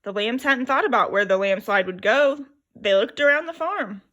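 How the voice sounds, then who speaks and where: A woman reading a children's picture book aloud in an expressive, lively storytelling manner. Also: on the high side, moderate pace, highs cut off.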